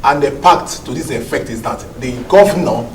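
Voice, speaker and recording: A man's voice: mid-range voice, normal speed, a little mellow, lightly acted. A noisy, echoing recording of a man acting a Nigerian party secretary in a TV drama. He is stubborn and righteous, stressing his formal, wordy phrases in a mid-range voice. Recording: echoey room, distant, noisy ambience.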